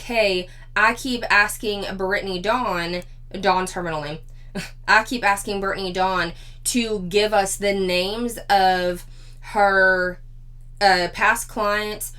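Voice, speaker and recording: Slightly hoarse chatty woman. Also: mid-range voice, fairly bright tone. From Four-State area of Missouri, Arkansas, Kansas, Oklahoma. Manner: chatty, laughs often, restarts phrases. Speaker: YouTube commentary host. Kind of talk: casual commentary vlog.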